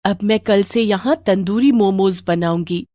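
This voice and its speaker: A woman's voice: mid-range voice, a little slow, dark tone, no echo, clean studio sound, natural voice. A woman playing a humble, polite young food seller in a moral story, grateful and deferential. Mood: humble, pleased. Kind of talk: Hindi moral story character voice.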